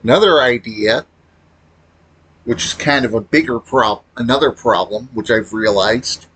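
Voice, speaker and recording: A man giving casual, unscripted opinion commentary as a gaming video creator, halting as he talks and blunt in his complaints. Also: mid-range voice, a bit bright, slightly rough, poor audio.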